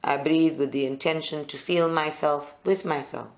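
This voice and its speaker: A meditation guide leads a live group session in short, deliberate phrases with pauses between them. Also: mid-range voice, steady tone.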